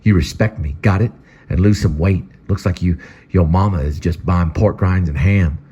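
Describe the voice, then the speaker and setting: A man's voice: low-pitched, moderate pace, mellow, breathy edge. Man playing a brash, bossy tough guy. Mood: bullying. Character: tough swaggering man who bullies a kid. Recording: slightly dull lo-fi audio.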